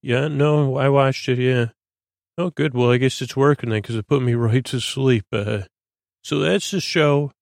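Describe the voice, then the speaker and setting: A man with a creaky, gravelly voice at a middle pitch, recorded close and dry. He talks like a sleep-podcast host, gently lulling. His sentences wander, hesitate and drift into tangents. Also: unhurried, no room sound. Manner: meandering, hesitant, often trailing into tangents. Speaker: host of a bedtime-story podcast. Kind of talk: rambling sleep-podcast monologue.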